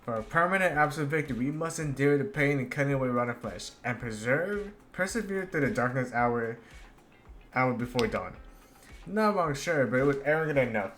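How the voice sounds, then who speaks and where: A man's voice: medium pitch, fairly bright tone. A man streaming a video game with casual commentary, stumbling a little as he reads dialogue aloud. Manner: halting, stumbles while reading aloud. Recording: clear background noise.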